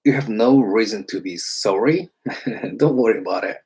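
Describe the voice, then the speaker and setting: A low, husky man answers viewers' questions in a livestream. He explains in halting bursts as he thinks aloud, with plenty of fillers along the way. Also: a little mellow. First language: Japanese. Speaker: English teacher.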